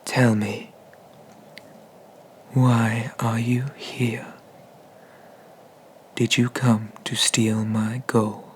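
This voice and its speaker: Man playing a slow, whispering, haughty dragon god. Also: mid-range voice, little pitch movement, a little hiss or hum. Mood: curious, looming. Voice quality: breathy whisper. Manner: slow, pompous, condescending, with drawn-out pauses. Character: ancient male sea dragon god, haughty and menacing. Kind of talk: ASMR roleplay, whispered.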